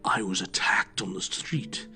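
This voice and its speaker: A man playing an ill, weakened detective in an audio drama, with a breathy, creaky whisper and halting, strained urgency. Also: sharp tone, soft background music. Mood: weak, strained. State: weak, ill.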